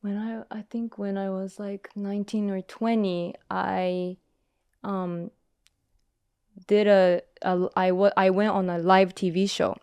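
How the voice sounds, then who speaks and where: A woman guest telling her story in a casual interview, hesitant and soft-spoken, with many pauses and restarts. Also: medium pitch, little pitch movement, clear, resonant tone, no room sound. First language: English.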